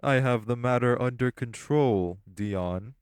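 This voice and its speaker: A man's voice: mid-range voice, a little slow, slight background noise, close to an everyday voice. An actor playing a composed, formal male crime ringleader who speaks in a stern, proper way. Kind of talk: audio roleplay acting.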